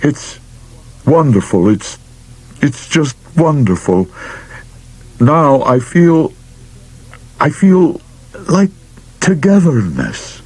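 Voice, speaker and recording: A man reading an audiobook, voicing a proud, tender ballplayer full of wonder, speaking haltingly and savouring each word. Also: on the high side, very animated, a little mellow, husky, no room sound, pitched above the performer's own voice, full character voice, poor audio. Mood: moved, wondering.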